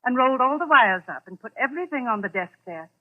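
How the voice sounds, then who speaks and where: A woman's voice: a little high, lively intonation, quick pace. A woman playing a deferential, well-meaning, chatty housekeeper in a 1940s radio suspense drama. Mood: puzzled, concerned. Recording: muffled vintage 1940s radio broadcast.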